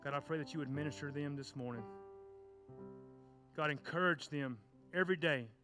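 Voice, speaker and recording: A man preaches in a church sanctuary, his voice sitting a little high. He delivers the sermon with loud, fervent passion, then eases into the closing prayer. Soft guitar music plays clearly underneath him. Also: brisk. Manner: fervent shouting preacher, stomps and cries. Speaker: children's pastor.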